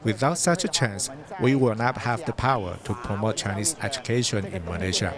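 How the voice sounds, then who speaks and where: Calm male interview voice-over. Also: fairly low pitch, brisk, crowd noise.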